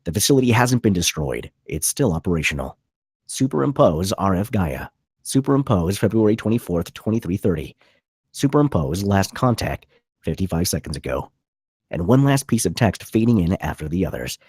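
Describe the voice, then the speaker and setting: Low, husky, animated male narrator. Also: moderate pace, no echo, studio quality. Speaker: narrator reading stage directions. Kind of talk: dramatic scripted narration.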